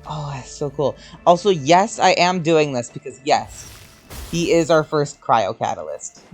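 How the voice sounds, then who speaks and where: A man's voice: a little high, expressive, a little slow. A man giving casual gameplay commentary as a streamer, rambling and reactive, swearing casually. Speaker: game streamer. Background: game music.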